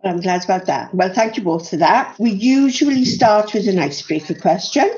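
A woman's voice: medium pitch, animated, normal speed, a little mellow, lo-fi sound. A woman, hosting a podcast and putting questions to her guests in an organised, friendly way. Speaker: podcast host.

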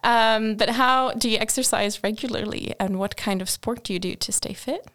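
A woman's voice: a little high, moderate pace, a bit bright. A young woman hosting a student podcast, asking questions and laughing along with guests. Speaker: podcast host.

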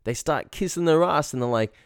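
A man's voice: fairly high pitch, fairly quick, smooth, dry recording, studio quality. A man hosting as a confidence coach, giving informal instruction in a blunt, slangy way. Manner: blunt, slangy, acts out example lines. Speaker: dating confidence coach, show host.

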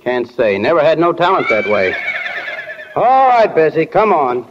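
Lively-pitched rustic milkman. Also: measured pace. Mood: neighborly. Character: plain rural milkman, slow-witted and neighborly. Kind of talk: 1940s radio acting. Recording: muffled, noisy, echoing old radio recording.

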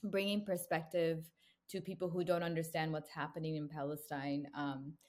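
A woman's voice: sits low, flat delivery, normal speed, darkish tone. A young woman from the United States, a brand co-founder talking earnestly in a casual interview, with frequent "you know" fillers. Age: young adult.

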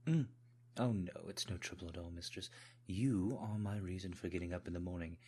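A man's voice: deep voice, a little fast, darkish tone, a little hiss or hum. A man voicing a devoted, obsequious manservant with a put-on British accent, speaking in a deferential, politely formal way in an intimate audio roleplay. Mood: soft, doting.

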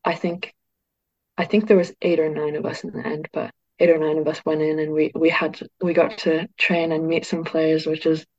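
A woman's voice: sits low, normal speed, highs cut off. A teenage girl from Northern England, a youth football player, chatting casually in an interview, often saying "like". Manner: chatty, peppered with "like", excited.

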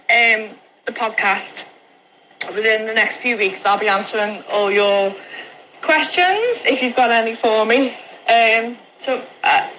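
A woman's voice: fairly high pitch, pushed and bright. A woman with a Scouse accent leaving a casual recorded message in a cheeky, blunt way. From Liverpool, England. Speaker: podcast guest. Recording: noisy voice message with background ambience.

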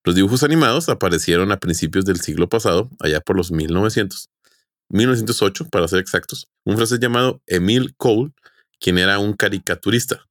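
A man's voice: on the low side, a little fast, no room sound, studio quality. A man co-hosting a podcast, presenting a prepared topic in an informal, conversational way. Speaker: podcast co-host.

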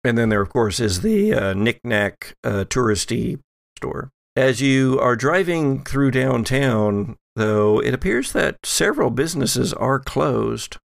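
Gravelly man running a tabletop game. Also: medium pitch, sing-song, unhurried, no room sound, clean studio sound. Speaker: game master. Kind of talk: narrating a tabletop role-playing game, semi-improvised.

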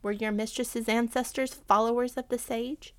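A woman's voice: fairly high pitch, steady tone, moderate pace, voice pushed up, lightly acted. A woman voicing a sly, haughty female cat in a children's story, with a purring, scornful, commanding manner. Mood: indignant. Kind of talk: children's animal storybook character.

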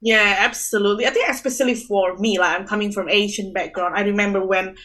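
Young woman from indonesia chatting about her teaching work, earnest, lots of you know, middle pitch. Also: steady tone, quick pace, a bit bright. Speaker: early childhood teacher, guest. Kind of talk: casual interview chat.

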